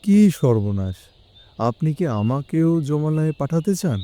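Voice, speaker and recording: A man playing an anxious, respectful gentleman in an audio story, speaking in a formal, earnest way. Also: a little high, lively intonation, measured pace. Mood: alarmed, astonished. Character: astonished, anxious, respectful gentleman seeking help from detectives. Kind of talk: Bengali audio story acting.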